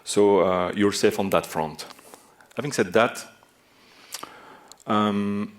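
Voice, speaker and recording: A man with a Belgian French accent presents a technical session from a large conference stage into a stage microphone. His voice sits a little low, and he pauses often with filler sounds as he explains. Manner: hesitant, many filler sounds. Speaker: conference speaker.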